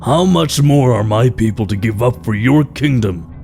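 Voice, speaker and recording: A man's voice: mid-range voice, lively intonation, normal speed, gravelly, a little mellow. A man playing a proud, grave king of stone folk in a fantasy audio drama, speaking in a stately, formal way. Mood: indignant, haughty. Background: background music.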